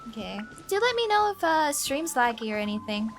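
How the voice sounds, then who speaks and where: High-voiced woman streaming games casually. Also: sing-song, a little fast, music in the background. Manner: rambling, slangy, informal. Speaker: game streamer. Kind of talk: casual livestream chat and game commentary. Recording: faint background noise and low rumble.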